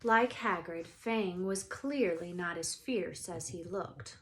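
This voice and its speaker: A woman's voice: on the low side, slow. A woman narrating a children's book as a read-aloud storyteller. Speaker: storybook reader.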